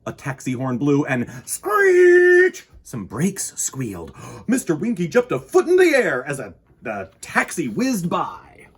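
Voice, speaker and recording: A man reads a children's story with a hugely varied, swooping pitch and a husky edge. His delivery is theatrical and deliberate, made for storytime. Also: huge pitch swings. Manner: dramatic, deliberate, with sound effects and exclamations. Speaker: storyteller reading a children's picture book.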